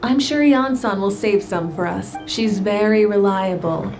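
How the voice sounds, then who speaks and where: A woman's voice: medium pitch, unhurried, natural voice. A woman playing a confident, kindly young warrior leader in a video game, assured and reassuring. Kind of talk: video game character acting. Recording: game dialogue over clear background music.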